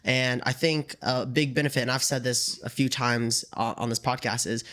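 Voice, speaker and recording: A man's voice: medium pitch, steady tone, normal speed, a bit bright. A man who works as a fitness trainer, chatting on a podcast with upbeat, enthusiastic advice. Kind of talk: casual podcast chat. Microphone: USB desk microphone.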